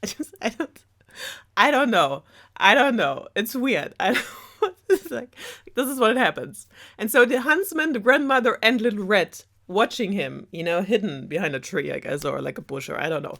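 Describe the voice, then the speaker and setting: A woman's voice: mid-range voice, expressive, moderate pace, a bit bright. A woman casually retelling a story in a sarcastic, rambling, giggly way as a storytelling host. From Germany. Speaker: storyteller host of a web series.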